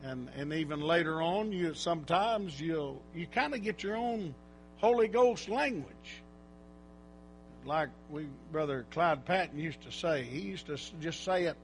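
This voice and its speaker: High-voiced man preaching in bursts. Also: expressive, unhurried, slight background noise. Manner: rambling folksy talk with loud exhortation bursts. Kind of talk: informal church preaching.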